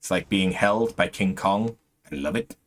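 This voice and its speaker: A man with a fairly deep voice giving casual, hesitant let's-play commentary, throat a bit strained from voicing characters. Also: fairly even intonation. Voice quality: relatively deep voice. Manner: hesitant, many ums, unhurried. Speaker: gaming streamer.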